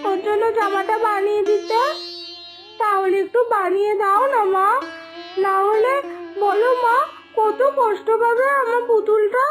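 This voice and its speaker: A woman voicing an innocent little village girl in an animated story, pleading with drawn-out, wheedling childish words. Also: extremely high pitch. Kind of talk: animated moral story acting. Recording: music behind the voice.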